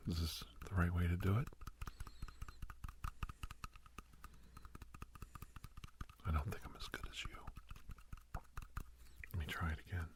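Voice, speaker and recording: A man playing a gentle, reassuring doctor in a soft-spoken ASMR roleplay, with a husky, breathy voice and halting, hesitant delivery. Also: deep voice, slight background noise, close to an everyday voice. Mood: curious, playful. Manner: soothing, hesitant, halting pauses. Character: gentle, reassuring male doctor counseling a nervous young werewolf.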